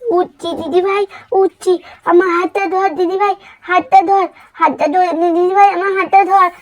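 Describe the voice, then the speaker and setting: A woman's voice: very high-pitched, little pitch movement, normal speed, pitched above the performer's own voice. A woman voicing playful, pleading little village sisters who repeat their phrases insistently in a children's doll-story cartoon. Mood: anxious.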